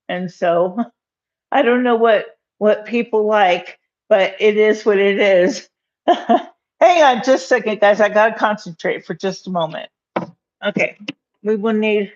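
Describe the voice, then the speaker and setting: A woman in her fifties hosting a casual live cooking stream from her home kitchen, rambling with many fillers and little laughs, recorded on a phone on the counter. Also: medium pitch. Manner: rambling, giggly, lots of fillers. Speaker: livestream cooking host. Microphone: phone camera on counter. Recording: dull lo-fi phone audio.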